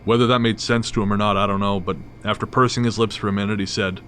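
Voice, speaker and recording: A man narrating a scripted first-person horror story in a measured, literary storytelling manner. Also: lowish voice, fairly even intonation, a bit bright, slight background noise.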